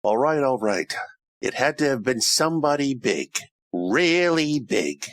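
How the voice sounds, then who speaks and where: Man playing a wisecracking, animated Roman gumshoe. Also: medium pitch, unhurried, no room sound, clean studio sound. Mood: brisk, sardonic. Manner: wry, tough-talking, slangy. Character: wisecracking hard-boiled Roman private eye, world-weary. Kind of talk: old-time radio detective parody narration and dialogue.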